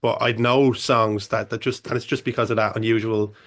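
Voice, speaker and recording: A man's voice: on the low side, little pitch movement, normal speed, a bit bright. A man, a band manager answering on a music-industry panel, talking loosely with many restarts and fillers. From Ireland. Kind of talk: panel discussion.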